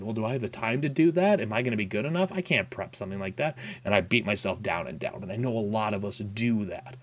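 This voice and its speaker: A young man talking candidly in a personal vlog update, reflective and halting, with frequent fillers and restarts, recorded indoors in a small home room on a desk microphone. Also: lowish voice. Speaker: content creator. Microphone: boom-arm desk mic, wearing headphones.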